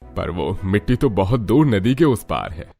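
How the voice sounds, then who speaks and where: A man's voice: fairly low pitch, very animated, moderate pace, creaky, a little mellow, soft background music. A man voicing an older father-in-law, a proud family elder, in a cartoon story. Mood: doubtful. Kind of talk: Hindi cartoon story dialogue.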